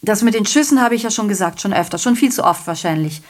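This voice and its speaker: An actress playing a garrulous, self-pitying messenger in a German radio play, giving a digressive, theatrical monologue that keeps correcting itself. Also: female voice, medium pitch, expressive, fast, lightly acted. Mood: gossipy. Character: garrulous, self-pitying messenger reporting a night of revelry and shootings.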